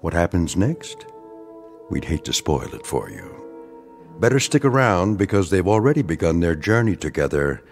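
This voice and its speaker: A lower-pitched male narrator introducing a radio play, his voice rising and falling expressively. He speaks in a measured, teasing storyteller's way over faint music. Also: sing-song, vocal fry, a little mellow. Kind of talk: scripted narration.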